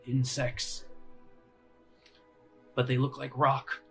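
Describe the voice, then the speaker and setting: Man, game master, medium pitch, casual descriptive narration, halting delivery, clear music bed. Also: completely flat delivery, fairly bright tone. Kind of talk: improvised tabletop game narration.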